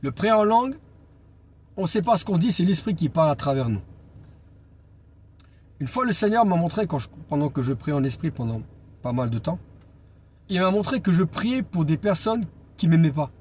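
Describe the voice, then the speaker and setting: A man preaching an informal Bible teaching as an evangelist, speaking deliberately and pausing on key phrases for emphasis. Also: on the high side, expressive, a little mellow. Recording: clear background noise and ambience.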